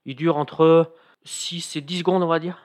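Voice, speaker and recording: Man, fairly high-pitched, chatty, hesitant, radio interview guest. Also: level tone, measured pace. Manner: chatty, hesitant with many fillers. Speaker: radio comedy columnist. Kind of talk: casual radio interview chat.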